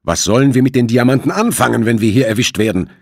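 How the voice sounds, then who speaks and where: A man's voice: mid-range voice, fast talker, no echo, studio quality, voice pushed up, lightly acted. A man voicing a greedy, threatening male criminal in an audiobook, agitated and impatient. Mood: grudging.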